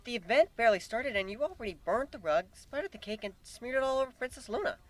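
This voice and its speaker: A man's voice: extremely high pitch, expressive, quick pace, dry recording, pitched above the performer's own voice. A man voicing a sceptical, scolding young female pony in a fantasy story reading. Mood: reproachful.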